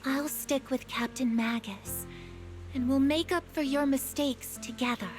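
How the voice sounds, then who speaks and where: A sweet and loyal young girl soldier with a high voice. She sounds earnest, in anime-style game drama. Music sits clearly behind her. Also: fairly even intonation, unhurried. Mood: earnest, determined.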